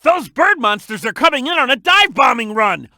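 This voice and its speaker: Young boy cartoon animal, video game sidekick, high-pitched, childlike, excitable, cheeky, emphatic, dry close sound. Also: normal speed, dry recording, full character voice. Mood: eager, instructive. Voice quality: youthful, childlike. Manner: eager, emphatic, exclamatory. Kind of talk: video game character hint lines.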